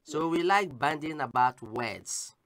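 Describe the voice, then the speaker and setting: A Ghanaian man hosting a radio call-in show, speaking in a measured, reflective way. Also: a little high, expressive, fairly bright tone. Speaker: radio talk show host.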